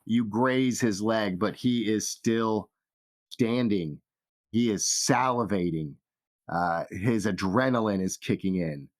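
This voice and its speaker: Slow, low-voiced man as game master. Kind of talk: narrating a tabletop fantasy game.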